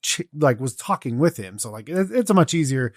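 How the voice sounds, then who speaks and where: A man with a mid-pitched voice in a casual podcast discussion. He sounds earnest and loosely meandering as a co-host, on a very dry recording. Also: big pitch swings, a little fast, no room sound.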